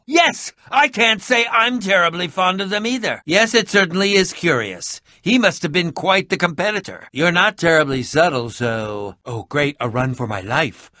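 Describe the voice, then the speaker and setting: A man's voice: a little high, expressive, moderate pace, few pauses, sharp tone, dry recording, clean studio sound. An actor playing an eccentric, prickly male adventurer in a video game, with a pompous, melodramatic and sardonic manner. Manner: theatrical, pompous, sardonic, formal wording. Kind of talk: animated video game voice acting.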